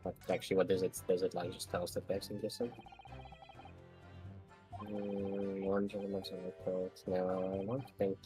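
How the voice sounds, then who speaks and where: A man's voice: sits low, completely flat delivery, moderate pace, mellow, faint music behind. A man chatting casually and hesitantly as he puzzles through a game on a livestream. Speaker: player in a fan dub livestream.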